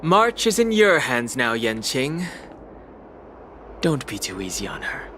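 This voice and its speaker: A calm, dry young man from a fantasy game. His pitch moves around a good deal, with faint noise in the background. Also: unhurried. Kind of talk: video game voice acting.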